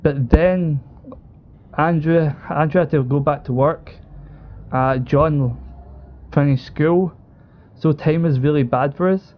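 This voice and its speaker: Young British male, slightly high voice, casual storyteller with enthusiastic informal phrasing, dull lo-fi call audio. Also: slow pace, long pauses, a little hiss or hum. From United Kingdom. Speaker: esports organisation co-owner. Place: online group call.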